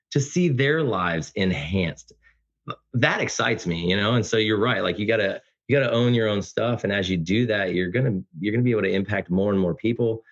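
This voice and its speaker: A middle-aged man talking casually and earnestly as an effusive podcast guest. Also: lowish voice. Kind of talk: casual heartfelt conversation. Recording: dull lo-fi audio.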